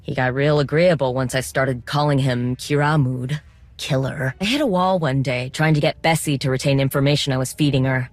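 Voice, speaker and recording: An actress playing a determined, wry droid mechanic in a video game, speaking informally with coaxing, teasing encouragement. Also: female voice, a bass voice, fairly quick, no room sound. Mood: wry, explanatory.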